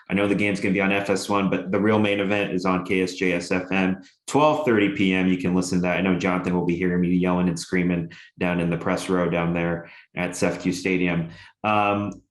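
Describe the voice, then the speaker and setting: A young man with a very low, deep voice talks through a college football preview in a loose, rambling way, full of "uh" and "you know" between his points. He sounds like he is in an echoey room. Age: young adult. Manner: rambling with many fillers, enthusiastic. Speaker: sports show co-host and radio broadcaster. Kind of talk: casual sports chat.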